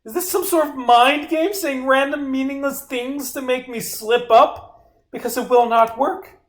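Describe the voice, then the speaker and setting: A man's voice: extremely high pitch, fairly even intonation, unhurried, fairly bright tone, voice pushed up. A man voicing a haughty, impatient stage medium in a visual novel, imperious and indignant. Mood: imperious outrage. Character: haughty, impatient spirit-medium stage performer under accusation.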